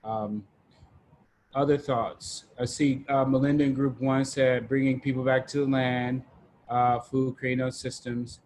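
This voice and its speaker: Male group host, informal and halting, little pitch movement, some background noise. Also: flat delivery, darkish tone, low-quality recording. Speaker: discussion facilitator. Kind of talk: casual group facilitation on a video call. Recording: noticeable background noise on online call.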